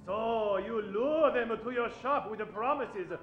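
Man acting a high-pitched, pompous detective general. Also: unhurried, highs cut off, soft background music. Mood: smug. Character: pompous detective general solving a murder mystery. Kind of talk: old-time radio comedy acting. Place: small theater stage. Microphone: shared vintage-style stand microphone. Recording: roomy live theater stage.

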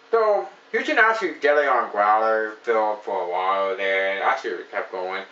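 A man in his thirties talks through a beer review in a loose, enthusiastic ramble, swinging his voice up and down. His low voice sits back from the mic in a small echoey room. The sound is a little dull. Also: animated, moderate pace, recorded from a distance, background noise. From Ontario, Canada. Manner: rambling, enthusiastic, many fillers. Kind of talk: casual beer review to camera.

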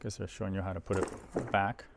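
A middle-aged man giving casual, unhurried unboxing commentary, thinking aloud as he handles things in a workshop. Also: sits low, flat delivery, vocal fry, noisy background. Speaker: host of an audio gear unboxing video. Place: indoor workshop at a workbench.

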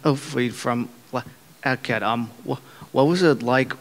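A man in the audience asking a panel question into a handheld mic, halting and restarting as he goes. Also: medium pitch. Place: large convention hall panel room. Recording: roomy hall reverb.

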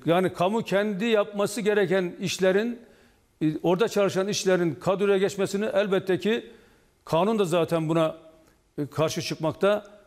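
Union official, male, sixties, high-pitched, measured stop-start delivery, echoing hall-like sound. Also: fairly even intonation, a little mellow. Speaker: trade union official, interview guest. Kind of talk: live TV news interview, explaining. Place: indoor office or studio, video link to TV broadcast. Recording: long reverberant hall echo.

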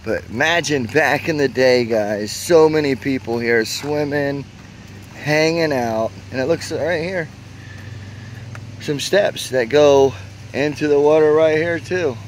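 Male travel vlogger, unhurried and easily excited, outdoors on a handheld camera with faint background noise. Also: fairly high pitch. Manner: excited, exclaiming at what he finds. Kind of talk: casual walking tour narration. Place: outdoors at a spring park.

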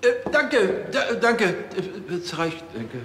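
A man's voice: high voice, sing-song, takes it slowly, heavily acted. An actor playing a flustered, officious policeman in a TV comedy, stammering and repeating himself awkwardly. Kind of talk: naturalistic TV comedy acting. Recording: echoey, long reverb, faint ambient noise.

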